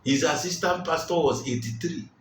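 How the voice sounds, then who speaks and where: A man preaching a sermon, speaking slowly and with emphasis, repeating his key phrases. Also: fairly high pitch, slight background noise. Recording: roomy, distant mic, faint ambience.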